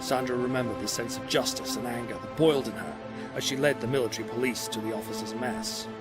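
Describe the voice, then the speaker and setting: A man's voice: mid-range voice, fairly even intonation, quick pace. Male audio drama narrator over music.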